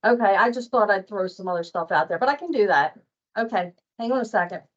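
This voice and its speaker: A woman giving an informal workplace training walkthrough, speaking in a plain, halting, conversational way over muffled online meeting audio. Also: medium pitch, animated, slight background noise. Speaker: staff trainer on invoicing. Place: online meeting with screen share.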